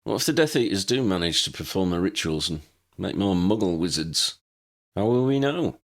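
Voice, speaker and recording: A man acts a casual, good-natured wizard in a storybook. He talks bluntly and loosely, with big swings in a low register. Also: sing-song, moderate pace. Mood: worried. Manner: informal, loose phrasing.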